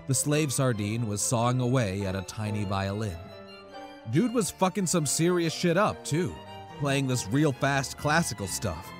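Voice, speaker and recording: A man narrating a scripted comic horror story in a dramatic, profane storytelling manner. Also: mid-range voice.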